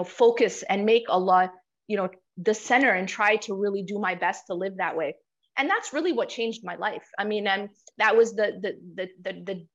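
A woman's voice: mid-range voice, fairly bright tone. Hesitant, reflective American woman. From United States. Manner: reflective, many restarts and repeated words. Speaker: guest. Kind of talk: personal storytelling in an interview. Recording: slightly muffled podcast audio.

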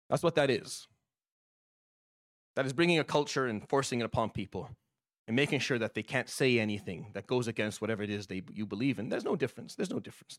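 A man's voice: medium pitch, no room sound, clean studio sound. A man delivering a Friday sermon as imam, impassioned and repeating his points. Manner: impassioned, repetitive.

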